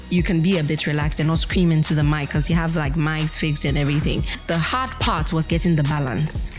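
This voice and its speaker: A woman, an actress answering questions in an interview. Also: low-pitched. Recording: loud music behind.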